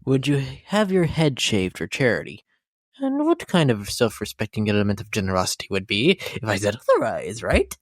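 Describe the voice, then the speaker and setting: A woman's voice: extremely low pitch, wildly swooping pitch, normal speed, no room sound, clean studio sound, voice pushed down, full character voice. A woman voicing a vain, theatrical, unhinged lady unicorn pony from a cartoon, with an affected, haughty manner, in a dramatic fan reading. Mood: vain, preening. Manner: affected, haughty, says darling. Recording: music behind the voice.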